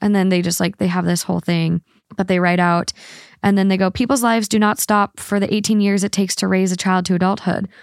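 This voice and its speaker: Woman co-host, average pitch, dry close sound, reads posts aloud steadily. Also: level tone, a little fast, creaky, dry recording. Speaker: podcast co-host. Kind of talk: casual chat, reading posts aloud.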